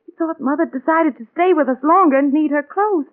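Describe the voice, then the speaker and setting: Seeking a woman to play a worried American wife, high plaintive voice, insistent, old-time radio drama through narrow bandwidth. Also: brisk, natural voice. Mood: fretful, plaintive. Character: anxious, fretful wife searching for her missing mother. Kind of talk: 1940s radio drama acting. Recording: tinny narrow-band old radio recording.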